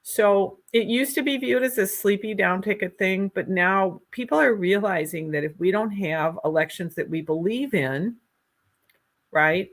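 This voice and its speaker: A woman with a strong Minnesota accent, a political candidate speaking earnestly in an interview, pausing often with ums and restarts. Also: mid-range voice. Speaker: candidate for Secretary of State.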